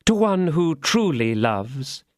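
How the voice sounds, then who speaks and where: A man's voice: fairly high pitch, very animated, slow pace, no room sound, studio quality, voice pushed up. A man voicing a scheming vindictive noblewoman. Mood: coaxing.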